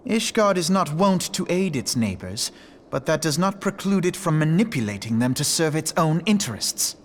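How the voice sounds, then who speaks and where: An actor playing an earnest, sharp-witted young noble scholar who talks precisely and pointedly. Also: male voice, a little high, moderate pace, slight background noise. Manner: precise, pointed, lively questioning.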